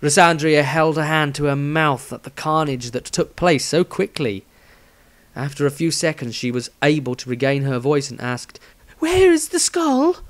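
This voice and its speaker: A man's voice: on the high side, fairly even intonation, moderate pace. Man dramatically narrating a fantasy story. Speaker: author reading own fantasy story.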